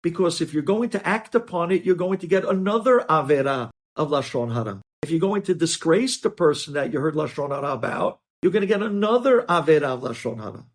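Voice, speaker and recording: A man's voice: on the high side, lively intonation, brisk, few pauses. A man speaking as a rabbi giving a religious lesson, explaining emphatically and repeating key phrases. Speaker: rabbi teaching religious law. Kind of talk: religious lesson with hypothetical examples.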